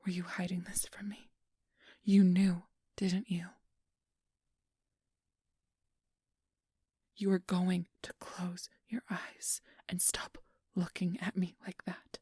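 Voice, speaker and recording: A woman's voice: on the low side, little pitch movement, a little slow, mellow, husky, dry recording, studio quality. Woman acting a whispery, possessive, manipulative fairy. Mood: uneasy, menacing. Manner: whispery, commanding, swinging to pleading outbursts. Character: possessive, manipulative female fairy, imperious and desperate. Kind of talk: ASMR audio roleplay.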